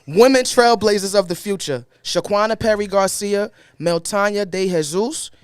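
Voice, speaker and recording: Brooklyn man co-hosting, animated and joking, high voice, dry close sound. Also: normal speed, clear, resonant tone, no echo. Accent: Brooklyn, New York. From New York. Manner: animated, playful, laughs often. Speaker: co-host. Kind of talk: casual chat, reading a guest bio.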